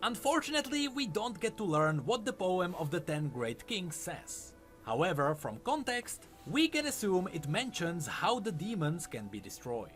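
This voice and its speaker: A male narrator with a fairly high voice and very animated intonation. He reads a scripted lore explainer as a storyteller, with music playing behind him. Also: moderate pace. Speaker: video essay narrator.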